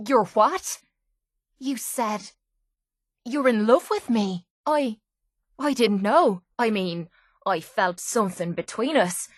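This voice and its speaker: A woman's voice: on the high side, no room sound, clean studio sound. An actress with an Irish accent playing a tough but wounded young woman fighter in a video game, blunt, earnest and confessional. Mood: stunned, tender. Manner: earnest, confessional, salty-tongued. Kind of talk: video game character acting.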